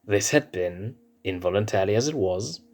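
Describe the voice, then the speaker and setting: Low-voiced man reading a story over music. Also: lively intonation, normal speed, a little mellow. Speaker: fan fiction reader. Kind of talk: storybook narration.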